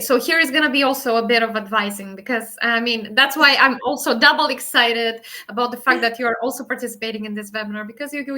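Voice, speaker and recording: A woman hosts a webinar over a video call, introducing her guest and asking questions in a chatty way. Her voice is very bright and fairly high. She fills her speech with ums and uhs. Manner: chatty with many fillers. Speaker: webinar host. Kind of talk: hosting a webinar interview.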